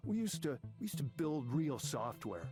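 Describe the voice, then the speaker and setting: A man's voice: fairly high pitch, sing-song, normal speed. A man playing an angry, middle-aged veteran tech manager in a visual novel game, combative, sarcastic and foul-mouthed. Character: angry veteran male tech manager, arrogant, bitter, ranting. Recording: game audio with music behind. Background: music.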